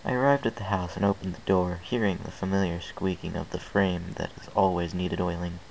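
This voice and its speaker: A man's voice: sits low, steady tone, fairly quick, creaky, darkish tone, slight background noise, low-quality recording. A man reading a fan fiction story aloud in the first person, in an earnest, plain way with small restarts.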